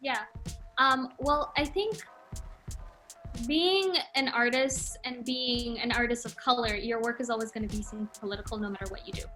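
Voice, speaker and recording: A bright, higher-pitched woman in her late twenties who grew up in Malaysia talks through interview questions about her art. She speaks thoughtfully and casually, filling her pauses with ums. Faint music plays behind her. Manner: thoughtful, many filler ums, breaks into laughter. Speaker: interviewed artist.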